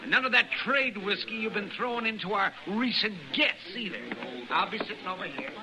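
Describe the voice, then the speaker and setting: Weary, grumbling older frontier physician played by a man, high-pitched and animated, radio Western, very noisy vintage recording. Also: a little fast, lightly acted. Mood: testy. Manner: grumbling, crotchety. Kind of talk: old-time radio Western acting.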